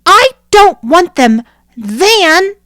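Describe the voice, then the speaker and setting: A woman voicing a fierce, bossy little girl in a storybook reading, with shrill, demanding lines. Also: big pitch swings, extremely slow, a bit bright, dry recording, clean studio sound, exaggerated voice.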